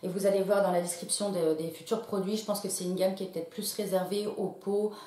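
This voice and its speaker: A woman's voice: medium pitch, fairly even intonation, fairly quick, warm tone, echoey room. A woman in her late thirties giving a casual beauty product review, chatty and full of small fillers and restarts. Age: late 30s. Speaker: beauty vlogger.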